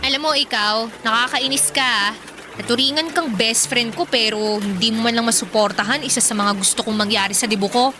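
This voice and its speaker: High-voiced whiny teenage Filipina girl, played by a woman. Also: brisk, noisy background, natural voice. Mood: annoyed, teasing. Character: teenage Filipina debutante, quirky, easily annoyed, stubborn. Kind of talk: Filipino radio drama acting.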